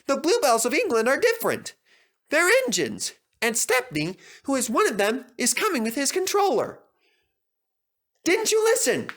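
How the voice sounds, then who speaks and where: A man voicing an eager, earnest young tank engine in a children's story, with a squeaky, chattering delivery. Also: huge pitch swings, moderate pace, fairly bright tone, exaggerated voice. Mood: indignant, insistent. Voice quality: squeaky, high-pitched. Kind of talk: animated children's story character voice.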